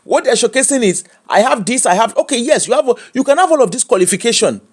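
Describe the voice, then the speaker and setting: A man with a Nigerian accent giving opinionated commentary, animated and mocking, slipping into pidgin. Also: very high voice, fast, breathy edge. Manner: animated, switches into pidgin and mimics people.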